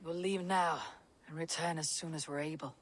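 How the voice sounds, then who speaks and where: Man playing a high-voiced, level-headed Viking warrior. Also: fairly even intonation, moderate pace, fairly bright tone, a little hiss or hum, close to an everyday voice. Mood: guarded. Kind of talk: dramatic video game acting.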